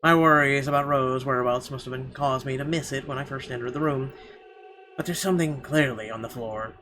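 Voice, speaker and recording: A man's voice: medium pitch, fairly quick, bright, forceful tone. Man reading game narration over background noise. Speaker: video game let's player. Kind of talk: reading visual novel narration aloud in first person. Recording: clearly noisy background.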